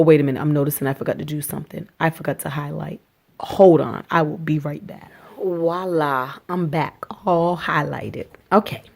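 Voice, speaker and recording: Hoarse chatty woman vlogger. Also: deep voice, a little mellow. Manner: chatty, upbeat, talks to viewers as y'all. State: hoarse from a cold. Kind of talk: casual budget vlog. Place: small rented apartment indoors.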